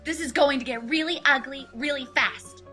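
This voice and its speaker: An urgent unicorn mare from a storybook, played by a woman narrator. She is bossy and takes charge in a very high, sharp voice, with music behind her. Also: normal speed. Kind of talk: audiobook character voice.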